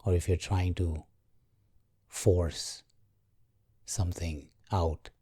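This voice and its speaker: A man guiding a meditation in a calm, gentle, measured way, leaving long pauses. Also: deep voice, breathy edge, no echo, studio quality. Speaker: meditation guide. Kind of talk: guided meditation, slow and soothing.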